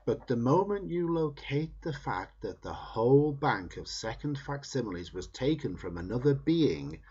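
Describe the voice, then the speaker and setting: A man reading a book aloud in measured, emphatic phrases that trail off at the ends of sentences. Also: fairly low pitch, slightly rough, dull audio. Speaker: reader.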